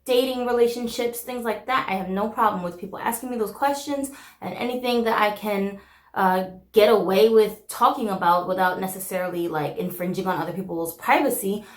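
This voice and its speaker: Woman host in her thirties, korean native speaker, talks openly and casually, mid-pitched, roomy echo. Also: a little fast. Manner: candid, chatty, frequent "like" and "um" fillers. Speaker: channel host. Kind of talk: casual chat to camera.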